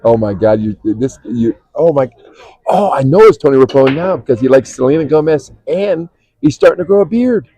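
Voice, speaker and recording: A man's voice: medium pitch, lively intonation, moderate pace, mellow. A man commentating on a pool match in a casual, jokey way, swearing and laughing often. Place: pool hall.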